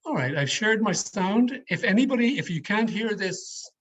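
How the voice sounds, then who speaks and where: A man leading an online public speaking workshop in a deliberate, emphatic, varied delivery, as a trainer addressing his learners. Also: high-pitched, animated, a bit bright, slightly rough. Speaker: speech trainer giving an educational session. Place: online video call. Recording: slightly muffled, lo-fi video call audio.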